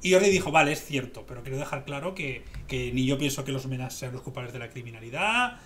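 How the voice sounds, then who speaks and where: A man's voice: medium pitch, animated, fast, fairly bright tone. A man in his thirties with an accent from Spain, discussing politics casually and emphatically, rambling and repeating himself on a headset. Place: small room at home, video call. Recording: background noise on home stream.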